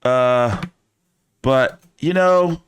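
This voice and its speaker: A man's voice: mid-range voice, drawn-out words, clean tone. A man hosting a casual fight-commentary live stream, talking in loose, profane, joking banter. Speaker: boxing commentary host.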